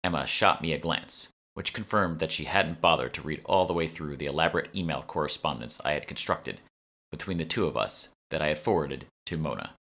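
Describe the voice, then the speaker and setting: Low-voiced man narrating an audiobook. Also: brisk, fairly bright tone. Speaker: author reading his own book. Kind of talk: scripted first-person audiobook narration.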